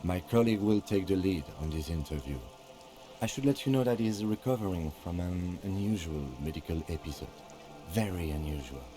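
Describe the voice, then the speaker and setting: A man voicing a courteous police lieutenant in a video game, formal, deliberate and reserved. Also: low-pitched, music in the background, natural voice. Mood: formal, reassuring.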